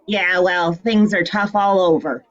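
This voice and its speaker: An actress playing a flippant, teasing retired heroine of sixty-five in a fan-made drama. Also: female voice, lowish voice, steady tone, measured pace, highs cut off, natural voice. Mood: flippant, amused. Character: brassy, flippant 65-year-old retired heroine, a mother.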